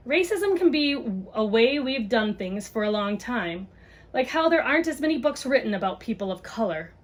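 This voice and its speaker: A woman reading a children's book aloud and chatting casually to parents, explaining things simply. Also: medium pitch.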